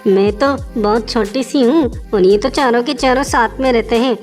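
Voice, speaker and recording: A woman voicing a sly, scheming little female wolf who flatters and wheedles in a children's animal story. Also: fairly high pitch, moderate pace, faint music behind, close to an everyday voice.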